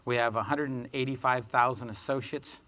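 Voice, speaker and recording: A man introducing himself as a panelist, a company sustainability director, giving a steady, businesslike presentation. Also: medium pitch, a little fast.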